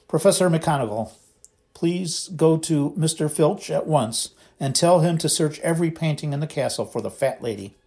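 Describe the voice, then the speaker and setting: A man reading aloud and voicing a calm, grave, elderly male headmaster who speaks in a measured way. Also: on the high side.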